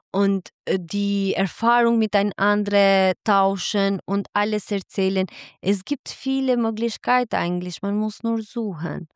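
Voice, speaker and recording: Frau mit albanischer Muttersprache, klare Stimme. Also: female voice, mid-range voice, clean tone, dry recording, studio quality. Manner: cheerful, laughs often, non-native German with frequent restarts. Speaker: midwife, interview guest. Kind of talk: casual interview answers.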